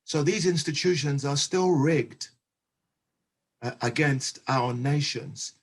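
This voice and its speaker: Husky-voiced man speaking with emphasis. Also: on the high side, takes it slowly. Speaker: panelist. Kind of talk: impassioned panel discussion.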